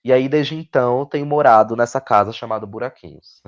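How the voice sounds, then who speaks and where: A man's voice: mid-range voice, a little fast. A man with a Brazilian Portuguese accent talking reflectively as an interview guest, often repeating words and adding tag questions. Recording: dull, lo-fi audio.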